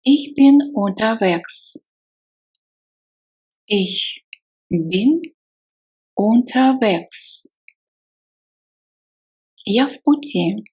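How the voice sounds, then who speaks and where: A woman with a mid-pitched, slightly breathy voice leads a language drill at a very slow pace. She says each short phrase several times in a measured, patient way and follows it with a translation. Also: animated, darkish tone. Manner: repeats each phrase slowly, then gives the translation. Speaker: language teacher.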